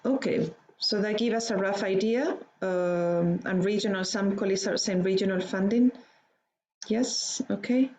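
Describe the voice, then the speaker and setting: Woman running an online training session, brisk, keeps saying okay, mid-pitched, on a computer mic. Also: a little mellow, low-quality recording. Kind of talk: moderating and introducing a speaker.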